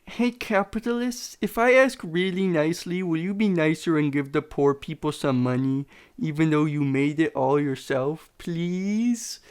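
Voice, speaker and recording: A man's voice: on the high side, measured pace, few pauses, clean tone, voice pushed up, lightly acted. A man voicing a naive, meek do-gooder who pleads politely in a satirical video essay. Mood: meek pleading.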